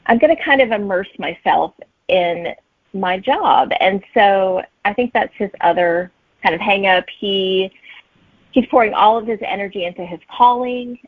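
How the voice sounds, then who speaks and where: A woman's voice: mid-range voice, unhurried, no echo, phone-quality sound. A woman chatting casually as an author being interviewed, heard over a thin remote connection.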